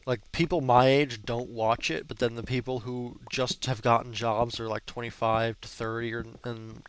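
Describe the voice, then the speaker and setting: A man's voice: medium pitch, level tone, normal speed, clear, resonant tone. A young man in his early twenties with a stuffed-up nose, chatting casually and cursing while commenting on a block-building game. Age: young adult. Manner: chatty, cursing, rambling with fillers. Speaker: gaming channel host.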